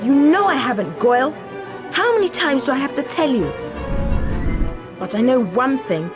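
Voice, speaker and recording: A woman's voice: high-pitched, big pitch swings, moderate pace, music in the background. A woman playing a spiteful, arrogant schoolboy bully in a video game, sneering with contempt. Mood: sneering, malicious.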